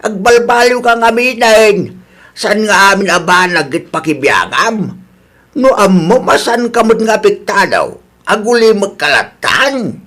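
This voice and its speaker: A male actor as an older village father in a comic radio drama. His voice is very high for a man. He chides in a mocking, preachy way. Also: lively intonation, unhurried, a bit bright. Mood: mocking scolding. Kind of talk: Ilocano radio comedy drama acting.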